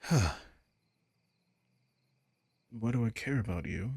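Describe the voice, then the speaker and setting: A commanding yet caring vampire king, acted by a man in an intimate roleplay audio. His voice is very husky and fairly low, and he takes his time with each line. He comes across as casual, teasing and protective. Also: expressive, slow pace, a little mellow, dry recording, exaggerated voice. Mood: concerned, gentle.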